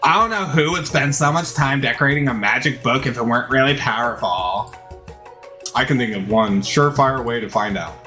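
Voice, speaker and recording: A man's voice: mid-range voice, brisk, bright, forceful tone, soft background music. A man reading game text aloud as a dramatized narration during a playthrough.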